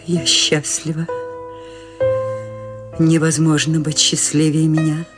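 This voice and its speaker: An eighty-year-old lady, serene and whimsical, in a radio drama. She speaks slowly and with gentle amusement. Music plays softly behind her. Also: low voice. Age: 80s. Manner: calm, gently amused, unhurried. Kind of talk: radio play acting.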